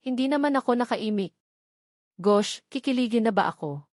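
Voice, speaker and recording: A woman's voice: fairly high pitch, animated, normal speed, darkish tone, no echo, studio quality. A woman reading scripted first-person romance narration as an audiobook narrator.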